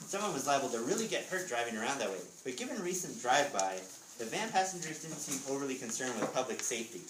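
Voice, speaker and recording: Guy reading his book out loud with chatty asides, roomy echo, mic kinda far off. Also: mid-range voice, big pitch swings, fast talker. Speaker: author reading from his book at a school readers theatre. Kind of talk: expressive storybook reading with casual asides. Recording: echoey room, distant mic, background noise.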